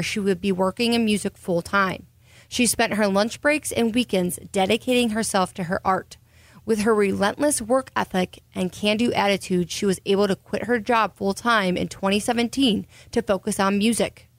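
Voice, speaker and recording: A woman's voice: medium pitch, dry recording. A woman hosting an interview, reading a scripted intro and then affirming her guest. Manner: affirming, encouraging listener. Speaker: interviewer and host.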